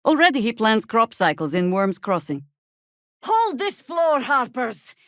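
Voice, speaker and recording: A woman playing a seasoned, wry, world-weary warrior druid in a video game, speaking in a dry, sardonic, measured way. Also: on the high side, sing-song, fairly bright tone, dry recording. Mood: grave, forceful. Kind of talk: dramatic video game character dialogue.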